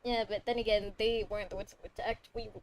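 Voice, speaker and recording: A woman's voice: medium pitch, fairly even intonation, fast. A woman doing casual game playthrough commentary, playfully teasing and talking back to the characters on a close microphone. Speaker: game streamer.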